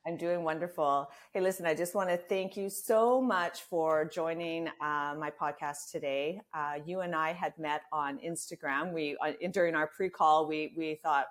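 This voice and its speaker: A woman's voice: low-pitched, steady tone, moderate pace, hardly any pauses. A woman hosting a podcast, chatting casually as she interviews a guest.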